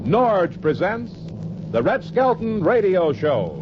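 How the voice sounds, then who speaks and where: Animated old-time radio announcer man. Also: sits high, takes it slowly. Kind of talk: 1940s-50s radio announcing and sponsor reading. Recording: dull, noisy old radio recording.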